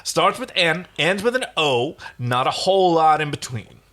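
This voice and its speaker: A man voicing a manic, taunting male astronaut hero with a loud, sing-song, mocking delivery. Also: medium pitch, animated, a little slow, pitched above the performer's own voice. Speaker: fan fiction reader.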